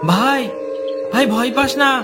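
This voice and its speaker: A man's voice: very high voice, measured pace, loud music behind the voice. A man voicing a protective young older brother in a horror cartoon. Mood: panicked. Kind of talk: Bengali horror cartoon dub.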